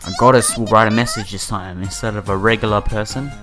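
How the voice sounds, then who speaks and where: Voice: medium pitch, quick pace. A gamer narrating a visual novel playthrough, reading text aloud and stumbling here and there, with casual slangy asides. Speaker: let's player. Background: background music.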